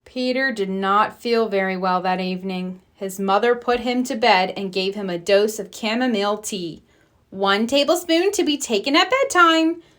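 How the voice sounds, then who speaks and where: A woman reading a children's storybook aloud as a storyteller, with expressive, deliberate phrasing. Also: medium pitch.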